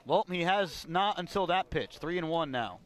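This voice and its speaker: A man's voice: on the high side, animated, normal speed, a little hiss or hum. A man giving live radio play-by-play commentary as a baseball announcer.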